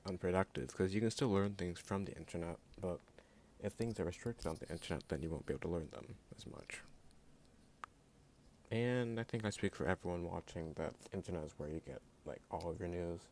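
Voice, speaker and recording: Low-voiced man ranting, sarcastic and blunt. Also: darkish tone, a little hiss or hum. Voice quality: voice sounds jittery at times. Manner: sarcastic and blunt, heavy use of "like". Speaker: YouTube creator. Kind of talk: opinion rant to camera.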